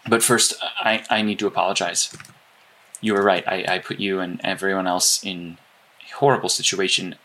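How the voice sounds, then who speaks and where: An actor playing an earnest, apologetic strategist in an audio drama, speaking in a halting, analytical way. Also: male voice, deep voice, little pitch movement, bright, forceful tone. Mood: contrite, earnest. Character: earnest, apologetic male strategist guiding teammate remotely. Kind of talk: naturalistic audio drama dialogue.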